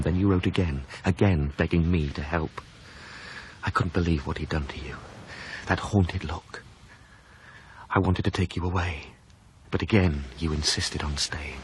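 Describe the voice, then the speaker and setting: An actor playing a devoted, romantic new husband in a radio drama, earnest and tender with old-fashioned phrasing. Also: male voice, rumbling low, slight background noise, rough recording. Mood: sombre, haunted. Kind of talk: radio drama narration and dialogue.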